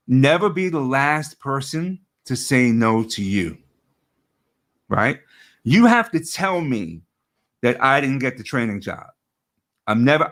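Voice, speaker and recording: A middle-aged man with a low voice, a coach giving an earnest motivational talk over a video call, often restarting and repeating words. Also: a bit bright.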